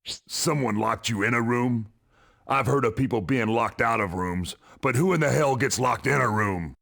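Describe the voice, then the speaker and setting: An actor playing a blunt, wary wrestler in a game cutscene, measured and sardonic. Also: male voice, medium pitch, vocal fry, a bit bright. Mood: skeptical, challenging. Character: blunt, wary male wrestler giving hard advice. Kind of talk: video game cutscene acting.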